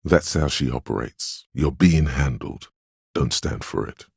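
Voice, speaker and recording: The grave inner voice of a middle-aged man's willpower for a video game. It is extremely low and a little husky, recorded close and dry. The delivery is measured and admonishing, with a dry wit and a protective firmness. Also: a little mellow, no room sound, exaggerated voice. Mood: wary, firm. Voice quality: very deep, solemn. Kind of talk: scripted game narration in second person.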